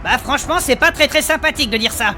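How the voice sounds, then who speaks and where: A woman voicing a small, lovestruck, bumbling male duck in a comic fantasy audio drama, excitable and bashful. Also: sits high, quick pace. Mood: tender, awkward. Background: music.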